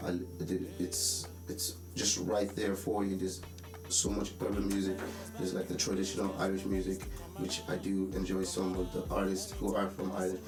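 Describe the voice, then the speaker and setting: A young man from Nigeria, a musician, talking about his music in a relaxed, halting way with many pauses, over a music bed in a roomy space. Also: deep voice. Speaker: musician interviewee. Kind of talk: casual interview talk. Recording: roomy sound with music behind.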